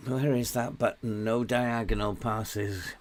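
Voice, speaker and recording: An older man from England with a hoarse, failing voice, commenting casually on a football management game and muttering to himself as he plays. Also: lowish voice, fairly even intonation, slow. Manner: muttering, talking to himself while playing. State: losing his voice, hoarse. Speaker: gaming YouTuber.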